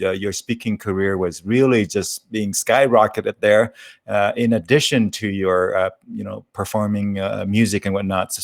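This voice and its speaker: A man hosting a casual live interview, speaking in an informal, halting way. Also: on the low side, no room sound, studio quality. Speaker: talk show host.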